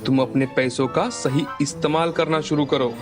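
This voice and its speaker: A man's voice: fairly high pitch, steady tone, moderate pace, music in the background, close to an everyday voice. A man voicing a grave, instructive holy man in a cartoon moral story. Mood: calm, advising. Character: solemn holy man, a village sage. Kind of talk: animated moral-story dialogue.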